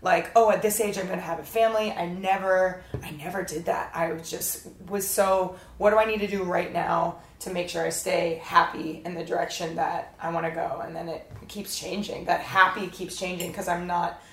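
Lower-voiced young woman guest, echoey room. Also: fairly even intonation, normal speed, hardly any pauses, a little hiss or hum. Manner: upbeat, candid, informal. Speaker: podcast guest, dance teacher. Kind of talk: casual interview chat. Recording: roomy, echoey sound.

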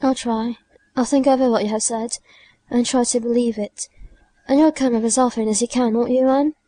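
Woman voicing a soft, frightened dying young woman. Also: fairly high pitch, steady tone, dry recording, natural voice. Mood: subdued, confiding. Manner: halting, plaintive. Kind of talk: audiobook character dialogue.

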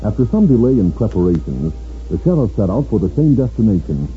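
A man's voice: on the low side, sing-song, fairly quick. A man narrating a radio drama in a 1950s style. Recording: tinny old radio broadcast, music behind.

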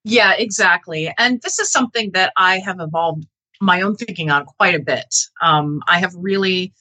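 A woman's voice: fairly low pitch, normal speed, sharp tone, low-quality recording. A middle-aged woman, a researcher interviewed as a guest, speaking in an earnest, reflective and emphatic way. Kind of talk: thoughtful interview talk.

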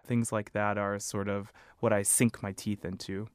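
A man who is a choral conductor and high baritone singer, answering interview questions in a thoughtful, measured, articulate way. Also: little pitch movement, smooth, no echo, studio quality.

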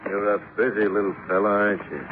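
Low-voiced menacing actor's radio thug. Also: male voice, little pitch movement, fast talker, lightly acted. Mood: threatening. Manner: terse, threatening. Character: large muscle-bound thug bouncer, menacing and gruff. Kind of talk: 1950s radio crime drama acting. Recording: tinny old radio recording, music behind.

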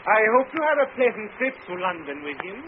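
An actor playing a suave, quietly menacing French smuggler, polite and formal, with a French accent, in 1950s radio drama. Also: male voice, high-pitched, big pitch swings, fast. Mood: coolly menacing. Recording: muffled, noisy 1950s radio recording.

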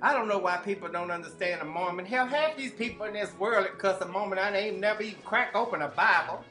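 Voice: mid-range voice, quick pace, pushed and bright, soft background music, lightly acted. A performer voicing a folksy, gossipy middle-aged busybody who rambles in a drawl with loose grammar. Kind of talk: comedy character monologue.

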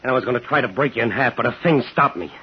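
A man's voice: mid-range voice, lively intonation, racing, slightly rough. An actor playing a bitter, relentless man in a 1950s radio drama, terse and sardonic. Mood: menacing anger. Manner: terse, sardonic, needling questions. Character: bitter, relentless man home after years, hunting a killer. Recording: muffled, noisy 1950s radio recording.

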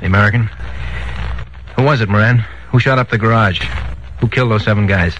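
An actor playing a brash, pushy newspaper reporter who presses with questions in a 1940s radio drama. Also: male voice, fairly low pitch, brisk, a bit bright, natural voice. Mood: pressing, brash. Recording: dull, noisy 1940s radio recording.

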